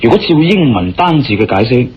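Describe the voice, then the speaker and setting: An actor playing a casual, curious, impatient adventurer in a radio drama, speaking with a Hong Kong Cantonese accent. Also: male voice, medium pitch, very animated, fairly quick, crowd noise, close to an everyday voice. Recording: dull, muffled radio-style recording. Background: background music.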